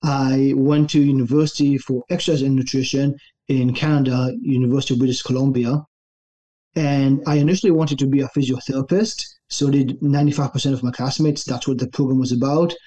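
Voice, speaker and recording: A young adult man from Israel, a fitness coach telling his story in a relaxed, easygoing interview. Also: medium pitch, a little fast. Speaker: guest, online fitness coach.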